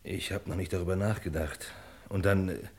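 An actor playing a shaken, indecisive gentleman in a radio crime play, speaking with hesitant, agitated formality. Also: deep voice, fairly even intonation, moderate pace, breathy edge. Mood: reluctant, torn. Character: shaken, indecisive gentleman burdened with a killer's secret.

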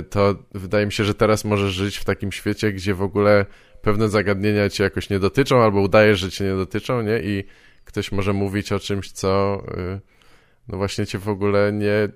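A man's voice: fairly low pitch, fairly even intonation, normal speed, smooth, dry recording, clean studio sound. A man hosting a podcast in a casual, tentative way, hedging and trailing off into short tag questions. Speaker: podcast host. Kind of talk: casual conversational podcast talk.

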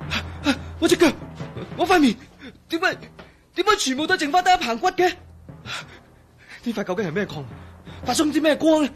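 An actor in a radio drama playing a troubled, excitable man who tells of his ordeal in Hong Kong Cantonese, with sighs and hesitation. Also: very high-pitched, a little fast. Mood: panicked horror. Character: troubled man named Ah-Yin, turned invisible, recounting his ordeal.

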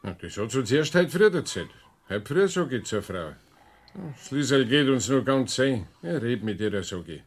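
A middle-aged mountain farmer in a radio crime play. He speaks Bavarian dialect at a medium pitch, in a plain, concerned way. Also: very animated, normal speed, slightly rough.

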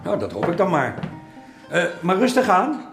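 An actor playing an exacting master painter in a historical radio drama, chiding his apprentice in an unhurried way with hesitant pauses. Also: high-pitched, huge pitch swings, creaky, heavily acted. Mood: instructing. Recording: echoey room, music underneath.

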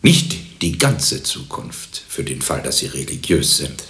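An actor playing a cultured, world-weary pathologist in a German radio play, speaking with deliberate, dry irony. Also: male voice, rumbling low, sing-song, gravelly, fairly bright tone, soft background music, heavily acted. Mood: musing, ironic. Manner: deliberate, dryly ironic. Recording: echoey, reverberant room.

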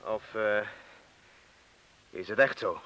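An actor in a radio drama playing an apologetic, probing workmate who questions hesitantly. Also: male voice, on the low side, takes it slowly, pushed and bright, low-quality recording. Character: bomb disposal man's probing, apologetic male colleague.

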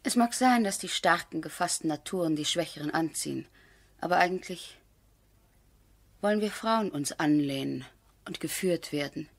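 An actress playing a cultivated, practical lady of a country estate, composed and gently ironic, in radio drama style. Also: sits low, normal speed, gravelly. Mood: frank, composed. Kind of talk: 1970s German radio drama acting.

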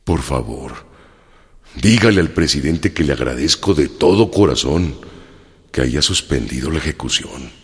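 An actor playing a humble, earnest jailed revolutionary general in a radio drama, respectful and plain-spoken. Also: male voice, extremely low pitch, lively intonation, moderate pace, husky, heavily acted. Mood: grateful, earnest. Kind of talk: historical radio drama acting. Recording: long hall reverb, music underneath.

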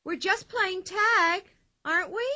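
A woman's voice: sing-song, moderate pace, bright, forceful tone, no room sound, full character voice. A woman voicing a bold, cheeky young girl, bouncy and carefree. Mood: playful. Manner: high bouncy voice, merry and carefree.

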